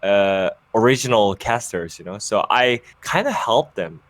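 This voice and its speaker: Low-voiced young Korean man, halting English. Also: expressive, fairly bright tone. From Busan, South Korea. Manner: halting, many fillers and self-corrections. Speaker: esports caster and former pro player. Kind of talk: casual interview over a call.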